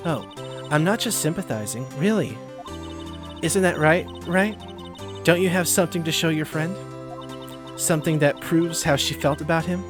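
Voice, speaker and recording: A woman voicing a calm, kindly female chief of a law office in a video game. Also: low-pitched, normal speed, pauses often, over music, close to an everyday voice. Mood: gently encouraging.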